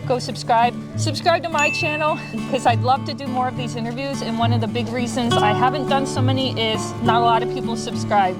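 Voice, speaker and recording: A young woman from the United States hosts a casual travel vlog in a bright, fairly high voice. She teases her companions playfully and laughs often as she chats. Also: brisk, hardly any pauses, over loud music. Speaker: host and interviewer.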